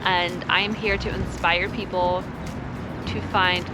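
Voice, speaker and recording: A woman from Sweden talking casually and reflectively as an interview guest, expressive and earnest in a conversational way. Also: lowish voice, unhurried, over loud music. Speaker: author and climate educator, interview guest.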